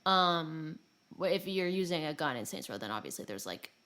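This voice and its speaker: A woman's voice: low voice, big pitch swings, normal speed, a bit bright. A woman chatting casually and informally about video games as a podcast co-host, rambling and self-deprecating.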